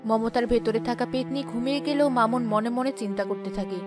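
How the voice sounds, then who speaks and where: A woman's voice: on the high side, fast talker, over music. A woman narrator reading a scripted story.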